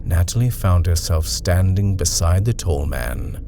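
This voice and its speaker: A man reading a horror story aloud in a measured, expressive narration. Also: deep voice, noisy background. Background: music.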